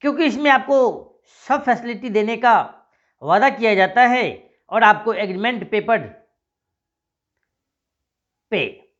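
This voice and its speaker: Slow, high-voiced man making a sales pitch. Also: fairly bright tone, muffled recording. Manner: answers imagined viewer questions, repetitive. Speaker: job scheme promoter.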